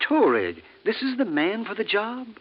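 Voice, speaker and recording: Impatient, high-voiced Martian official, swooping pitch. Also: male voice, wildly swooping pitch, moderate pace, full character voice. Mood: impatient, doubtful. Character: impatient, skeptical male Martian councillor. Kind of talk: 1950s radio drama acting. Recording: muffled old radio with faint noise.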